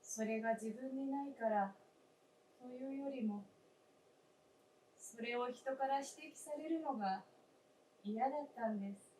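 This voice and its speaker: An actress playing the gentle, wistful spirit of a dead wife in a staged radio drama, with polite, old-fashioned feminine speech and deliberate phrasing. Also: female voice, a little high, natural voice. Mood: wistful, confessing. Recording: roomy stage, distant mic, faint noise.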